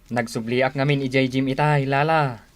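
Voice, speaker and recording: A woman's voice: a bass voice, steady tone, normal speed. A woman voicing a boastful, carefree, muscle-proud young husband in a radio comedy drama.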